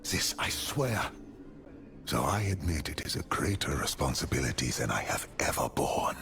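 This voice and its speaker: An actor playing an earnest rebel leader in a fantasy game, speaking in a solemn, formal, oratorical way. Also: male voice, a bass voice, very animated, moderate pace, creaky, fairly bright tone, exaggerated voice. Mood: resolute. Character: earnest male rebel leader proclaiming a free city. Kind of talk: dramatic fantasy game dialogue. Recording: music behind the voice.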